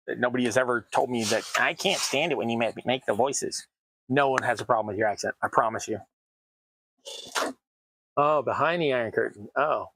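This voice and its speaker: A man's voice: mid-range voice, fairly bright tone, no room sound, low-quality recording. Chatty American man rambling while crafting. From United States. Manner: rambling, chatty, self-deprecating asides. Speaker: terrain crafting streamer. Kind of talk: casual chat while crafting. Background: sounds of cutting at a worktable.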